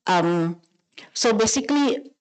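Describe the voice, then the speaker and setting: A woman's voice: mid-range voice, animated, slow pace, a little mellow. A woman from Indonesia with an Indonesian accent, presenting as a company founder on a forum panel.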